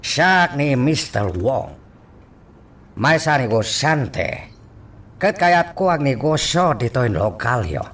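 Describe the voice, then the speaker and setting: An actor playing a deliberate, shady businessman in a radio crime drama, slightly off the microphone. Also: medium pitch, big pitch swings, a bit bright, recorded from a distance, heavily acted. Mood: smooth, polite.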